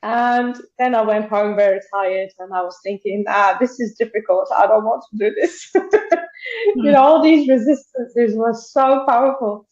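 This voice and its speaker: A woman's voice: fairly high pitch, expressive, a little slow, darkish tone, echoey room, dull audio. A woman from Bulgaria telling her story as a guest in a video call interview, chatty, with frequent laughs and restarted sentences.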